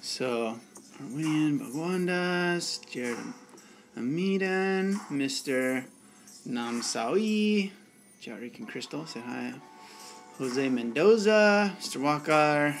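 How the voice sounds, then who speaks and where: A man's voice: on the high side, animated, slow pace, faint music behind. A young man hosting a live bartending stream, chatting casually and laid-back with viewers. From United States. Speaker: bartending channel host.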